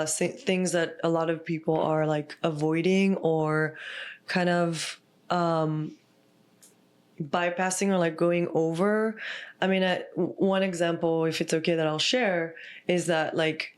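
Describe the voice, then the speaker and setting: A woman from Israel, a podcast guest and healer, talking in a soft, reflective way and often pausing on "like.". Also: on the low side, steady tone. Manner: soft, reflective, full of "like" fillers. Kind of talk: casual heartfelt conversation.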